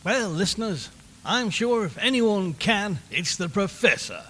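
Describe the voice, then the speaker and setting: A man's voice: sits high, sing-song, measured pace, bright, forceful tone, full character voice. A man playing an uneasy, chatty radio reporter who talks wryly to listeners from a haunted house in a horror audio drama. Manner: conversational, wry, addressing listeners. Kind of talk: live radio broadcast horror drama acting. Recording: long reverb, background hiss and ambience.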